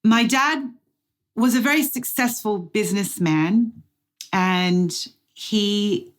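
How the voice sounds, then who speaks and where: A middle-aged woman giving a testimony sermon as guest speaker, slow, deliberate and earnest, with dramatic pauses. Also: mid-range voice. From Australia.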